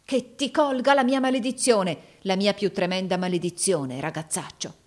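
A woman's voice: mid-range voice, sing-song, unhurried. A woman voicing a bitter, menacing older usurer, a male villain in an audiobook novel, harsh, indignant and commanding. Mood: furious.

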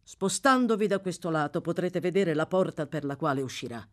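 A woman's voice: lowish voice, expressive, fairly quick, natural voice. A woman doing a curt male jailer. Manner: curt, firm commands. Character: gruff male prison jailer, businesslike.

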